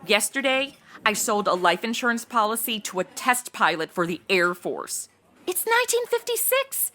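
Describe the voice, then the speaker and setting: Bubbly, high-voiced ditzy young saleswoman. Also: very animated, normal speed, bright, forceful tone. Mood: eager, pleading. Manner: flippant Valley-girl slangy chatter. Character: bubbly, ditzy young female novice insurance seller. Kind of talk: comedy audio drama acting.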